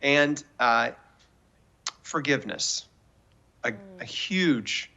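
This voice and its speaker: A man in his forties with a bright, forceful voice of medium pitch. He talks like an earnest interview guest, emphatic and persuasive, offering encouragement rooted in faith. The audio is somewhat dull and lo-fi. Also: extremely slow, creaky. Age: 40s. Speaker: guest author and cancer survivor.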